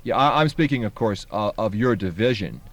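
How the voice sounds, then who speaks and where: A man's voice: on the low side, animated, a little fast, a little hiss or hum, lightly acted. A man playing an awkward, polite radio interviewer with a formal, stammering manner in a comedy sketch. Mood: polite, earnest. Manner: hesitant, stammering, formal, circuitous.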